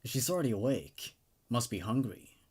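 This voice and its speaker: A grimy male captor from a horror story, read by a man speaks with subdued near-sympathy. His voice keeps to a medium pitch. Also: lively intonation, normal speed, a little mellow, breathy edge. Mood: low-key, sinister.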